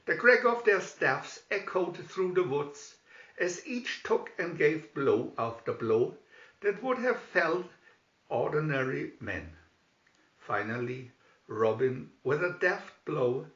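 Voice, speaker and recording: A man's voice: on the high side, sing-song, takes it slowly, a bit bright. A man reading a storybook aloud as dramatic scripted narration. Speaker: storybook reader. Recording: dull, roomy home recording.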